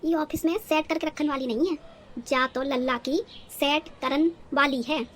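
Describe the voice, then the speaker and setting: A woman's voice: high-pitched, fairly even intonation, normal speed, fairly bright tone, a little hiss or hum. Woman voicing a fussy older village mother-in-law. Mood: puzzled. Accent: rural North Indian. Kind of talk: cartoon story dialogue in rustic dialect.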